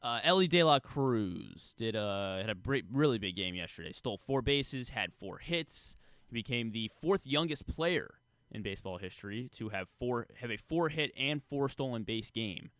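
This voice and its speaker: A man with an American accent chatting casually about sports as a radio co-host, with a somewhat halting, hesitant delivery on a close studio microphone. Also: medium pitch, expressive, fairly bright tone, no room sound.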